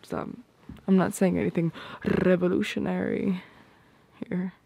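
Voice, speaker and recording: A woman voicing a tender, playful, possessive girlfriend in an ASMR roleplay, speaking softly and intimately close to the microphone in a breathy, often whispered voice. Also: lowish voice, flat delivery, a little hiss or hum. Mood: playful, affectionate. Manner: soft, intimate, unhurried, teasing. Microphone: close microphone, dry.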